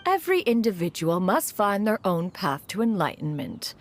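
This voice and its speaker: A woman's voice: medium pitch, big pitch swings, moderate pace. A woman playing a proud, caring immortal elder in a video game, speaking in a formal, haughty manner. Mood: haughty, indignant. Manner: formal, haughty, refers to herself as "one".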